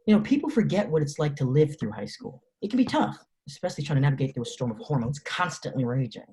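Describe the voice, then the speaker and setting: A man reads a wry teenage boy who confides in the audience about his heartbroken buddy. His voice has a mellow, dark tone, and his pitch moves a lot. He talks in a loose, conversational, musing way. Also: sing-song, fast talker. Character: teenage boy, loyal friend, talks to the camera. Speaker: actor in a table reading. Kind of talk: table-read script acting, direct-to-camera asides.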